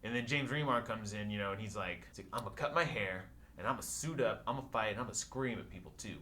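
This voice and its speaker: A man's voice: fairly low pitch, fast, sharp tone. A man co-hosting a casual podcast, bantering about films over some background noise. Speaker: podcast co-host. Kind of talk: casual film review banter.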